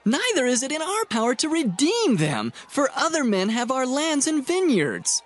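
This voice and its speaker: A woman's voice: high voice, wildly swooping pitch, moderate pace, full character voice. A woman voicing a pleading village woman. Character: worried woman of the crowd, complaining of hunger. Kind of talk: dramatized scripture reading.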